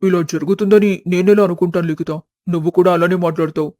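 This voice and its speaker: A man's voice: sits high, fairly even intonation, fast talker, darkish tone, dry recording, close to an everyday voice. A man voicing a defensive, earnest young man in love in a story.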